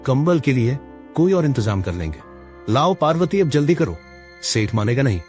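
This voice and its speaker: A man's voice: medium pitch, very animated, moderate pace, darkish tone, close to an everyday voice. A man playing a poor, worried village farmer in a story, pleading and submissive. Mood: urgent. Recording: music behind the voice.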